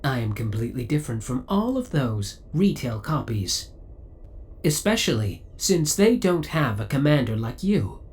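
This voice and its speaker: Man voicing a devoted ship AI, lively intonation. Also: mid-range voice, moderate pace, close to an everyday voice. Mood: devoted, possessive. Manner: formal, courteous, precise servant-like delivery. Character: devoted, possessive male spaceship AI, politely obsessive. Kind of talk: ASMR audio roleplay.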